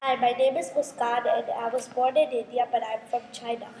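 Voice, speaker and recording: A woman's voice: a little high, level tone, brisk, mellow, a little hiss or hum. A girl reading a school presentation over a video call, eagerly punching out each listed word. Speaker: student presenter.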